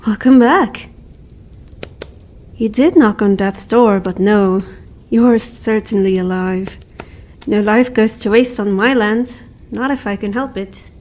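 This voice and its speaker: A woman voicing a calm, kindly winter spirit in roleplay ASMR, speaking softly in formal, old-fashioned phrasing. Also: medium pitch, lightly acted. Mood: calm, reassuring. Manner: formal, archaic, measured phrasing. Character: calm, kindly female winter spirit guarding her snowy mountain land.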